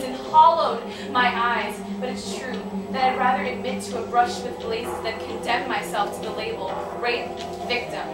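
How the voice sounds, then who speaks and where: A woman performing spoken word as a wounded, reflective young woman, with deliberate, declamatory, literary phrasing. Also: a little high, very noisy, close to an everyday voice. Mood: bitter reflection. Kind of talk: spoken-word dramatic monologue. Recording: very echoey, distant mic, music behind.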